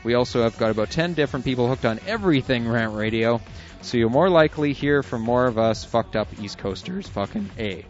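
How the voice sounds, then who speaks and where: A man's voice: medium pitch, animated, a little fast. Laid-back man reading listener emails. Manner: reads emails aloud with chuckles and asides. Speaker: internet radio co-host reading listener emails. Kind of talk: casual on-air chat. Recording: dull lo-fi audio with faint music.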